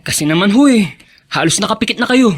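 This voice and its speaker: A man acting a caring, earnest young college son who is quietly hurt, in a Filipino radio drama with a Filipino accent. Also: high-pitched, lively intonation, quick pace. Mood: concerned, dutiful. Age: young adult.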